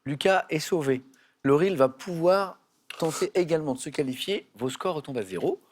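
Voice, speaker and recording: A man's voice: on the high side, moderate pace, noisy background. A man hosting a television quiz show in a studio, playful and chatty with the contestants.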